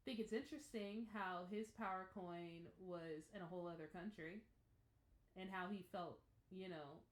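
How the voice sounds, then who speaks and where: A woman giving a casual, expressive spoken reaction and review, emphatic with thoughtful pauses. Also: fairly low pitch. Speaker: reaction show host. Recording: echoey room.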